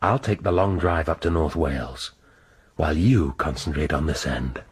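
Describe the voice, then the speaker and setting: An actor playing a gruff, sardonic police inspector, blunt and dry in his questioning. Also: a bass voice, normal speed, noisy background. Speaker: police detective. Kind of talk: radio drama acting.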